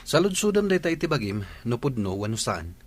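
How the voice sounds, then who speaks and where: An actor with an Ilocano Filipino accent playing a stern husband and dutiful son in a comedy radio drama. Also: male voice, mid-range voice, big pitch swings, a little fast. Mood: scolding.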